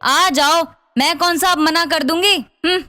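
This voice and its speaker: A stern middle-aged mother-in-law from a cartoon moral story, acted by a woman. Her voice is extremely high and sharp, and she scolds with open scorn. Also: unhurried, heavily acted. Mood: grudging. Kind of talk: animated moral story acting.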